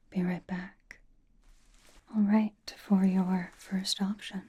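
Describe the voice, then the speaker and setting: A woman playing a sassy, vain young K-pop star doting on a fan in an ASMR roleplay, in a breathy whisper with teasing, deadpan delivery. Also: mid-range voice, extremely slow, dull audio. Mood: playful, smug.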